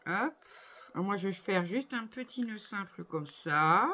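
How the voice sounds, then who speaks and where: Slow-paced, effusive middle-aged woman. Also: mid-range voice, expressive, bright, forceful tone. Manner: effusive, appreciative, exclamatory. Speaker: craft vlogger. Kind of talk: casual unboxing chat to viewers. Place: indoor home craft room. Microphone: phone on a tripod at arm's length.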